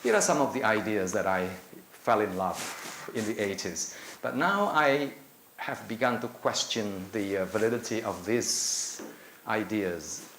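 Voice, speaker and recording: A middle-aged man from Indonesia, a native Indonesian speaker, giving a conversational lecture to teachers, with many filler pauses, holding a handheld mic in a lecture room. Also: on the low side, lively intonation, fairly bright tone. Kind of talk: conference talk. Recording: echoey room with faint noise.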